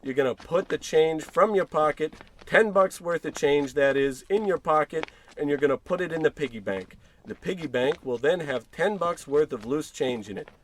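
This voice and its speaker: Blustering working-class husband played by a man, slightly high pitch, money-worried and irritable, old-time radio comedy delivery. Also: expressive, moderate pace, few pauses, a little hiss or hum, lightly acted. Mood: gruff, impatient. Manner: blustering, sarcastic, grumbling. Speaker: actor in scripted comedy podcast.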